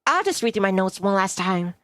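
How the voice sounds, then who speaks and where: A woman's voice: medium pitch, very animated, brisk, no room sound. A woman reading a visual novel aloud expressively as she plays, with music behind her. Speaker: VTuber playing a visual novel. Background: background music.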